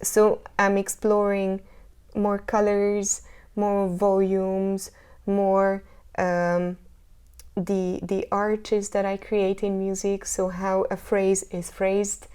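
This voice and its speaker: Hungarian woman talking about her music, smooth dark-ish voice, keeps restarting as she thinks. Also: medium pitch, monotone, slow. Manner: thoughtful, searching for words, frequent restarts. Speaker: singer, interview guest. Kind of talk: casual interview talk.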